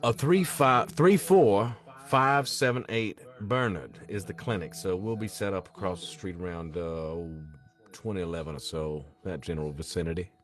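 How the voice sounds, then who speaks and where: An actor reading a pious, politely pushy activist in a film script, with a hesitant, ingratiating, folksy manner. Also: male voice, sits low, normal speed, lightly acted. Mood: pleased, courteous. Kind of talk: table read of a film script.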